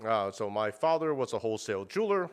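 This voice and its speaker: A man's voice: lowish voice, very animated, fast, clean tone. A man talking casually as an interview guest, rambling with many fillers and restarts and cursing bluntly. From United States. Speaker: guest, meat purveyor.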